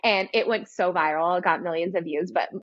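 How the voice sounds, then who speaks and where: Host type: girly-voiced woman, slight lisp, animated chat, a little lo-fi. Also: lowish voice, brisk, no echo. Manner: animated, laughs while talking. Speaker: podcast host and business coach. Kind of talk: casual interview chat.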